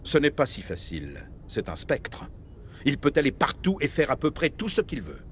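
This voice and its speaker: An actor playing a grave, middle-aged military starship captain, speaking with measured authority in video game dialogue. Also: male voice, medium pitch, huge pitch swings, fairly bright tone, a little hiss or hum. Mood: urgent, grave.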